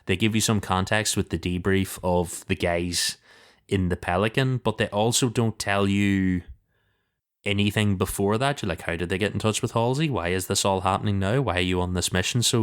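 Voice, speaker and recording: A man with a deep, smooth and resonant voice chats on a podcast panel. He speaks informally, in a conversational way, as he thinks through his points. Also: normal speed. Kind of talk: casual podcast discussion.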